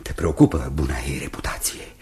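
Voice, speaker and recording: An actor in a radio drama playing a menacing man obsessed with love, theatrical and intense, shifting from hushed to fierce. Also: extremely low pitch, whispered. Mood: cold, insinuating.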